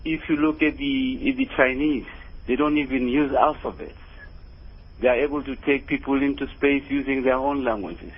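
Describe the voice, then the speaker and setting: A man's voice: medium pitch, steady tone, moderate pace, phone-quality sound. A South African man calling in to a radio show, giving his earnest opinion in an emphatic way, repeating words as he goes. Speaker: radio phone-in caller.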